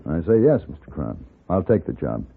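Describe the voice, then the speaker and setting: Western gunfighter, American, lowish voice, courteous, deliberate, composed, tinny vintage radio recording. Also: male voice, very animated, creaky, breathy edge, full character voice. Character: composed, courteous gunfighter for hire, narrating his own tale. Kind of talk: 1950s Western radio acting and narration. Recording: narrow-band 1950s radio recording with music.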